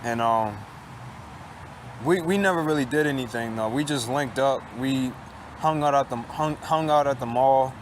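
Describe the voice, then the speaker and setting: Man, late 20s, vlogging outdoors on a campus; halting, repetitive, profane, resigned, mid-pitched voice. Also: a little hiss or hum. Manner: halting and repetitive, with frequent restarts and swearing; resigned, downcast tone. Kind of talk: casual confessional monologue.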